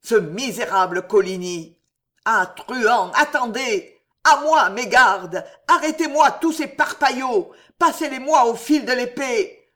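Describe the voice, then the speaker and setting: A man's voice: sits high, takes it slowly, fairly bright tone. A reader voicing a young king gripped by paranoid terror, feverish, moving from tender confession to shrieking for his guards in an audiobook. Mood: raving. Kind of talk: audiobook character reading.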